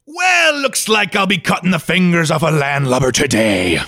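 An actor playing a surly, boastful pirate captain with a rough, gravelly voice and a stage pirate accent in a comic 1940s-style radio play. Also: male voice, fairly high pitch, very animated, normal speed, bright, forceful tone, no room sound, studio quality, heavily acted. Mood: menacing glee. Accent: put-on stage pirate.

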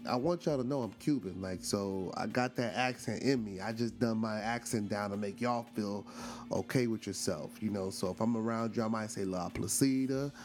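A man's voice: fairly low pitch, normal speed, darkish tone. Chatty man in his forties, faint music. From Brooklyn, New York. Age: 40s. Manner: chatty, frequent fillers and restarts, asks listeners questions. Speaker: podcast host. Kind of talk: casual storytelling monologue.

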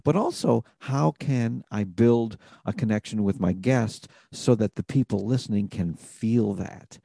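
A man's voice: lowish voice, a little slow, vocal fry, mellow, slightly rough, dry recording, clean studio sound. A man hosting an interview podcast, talking in an earnest, reflective way about purpose and connection. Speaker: podcast host. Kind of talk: conversational interview.

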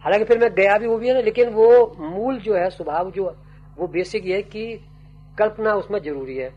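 Older male writer, fairly high voice, thoughtful, unhurried interviewee on lo-fi old tape. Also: breathy edge. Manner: slow, musing, trailing off with fillers. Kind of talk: reflective interview answers. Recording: dull old tape with faint hum.

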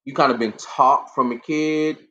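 Male panelist, reflective and unhurried, lo-fi recording. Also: mid-range voice, fairly even intonation, sharp tone, slight background noise. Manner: thoughtful, reflective, lots of fillers. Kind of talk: casual discussion.

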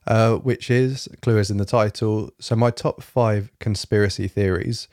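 A young man hosting his solo podcast in a rambling, fairly monotone way, with lots of fillers and a slightly rough throat. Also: lowish voice, measured pace, no room sound, studio quality. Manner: rambling, many fillers and restarts. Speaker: podcast host. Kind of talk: casual solo podcast chat. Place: home, evening, quiet room.

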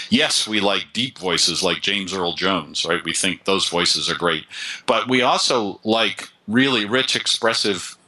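A male voice coach being interviewed, his pitch very deep but his tone strikingly bright and forceful. He explains things with confident authority, often repeating words as he restarts a phrase. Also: rough recording.

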